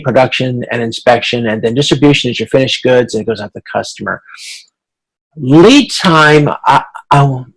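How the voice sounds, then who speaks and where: Man lecturing informally, halting delivery. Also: mid-range voice, creaky, low-quality recording. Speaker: college instructor. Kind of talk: video conference lecture explaining slides, informal.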